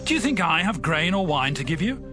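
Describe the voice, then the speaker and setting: A man's voice: on the high side, big pitch swings, a little fast. An actor playing an agitated, exasperated and vengeful king in a dramatized Bible reading. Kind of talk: dramatized Bible audio acting. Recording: music behind the voice.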